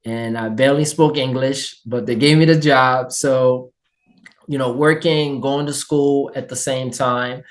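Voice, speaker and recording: A man's voice: medium pitch, steady tone, a little slow. Upbeat man with a Haitian accent. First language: Haitian Creole and French. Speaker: guest. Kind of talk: casual interview storytelling.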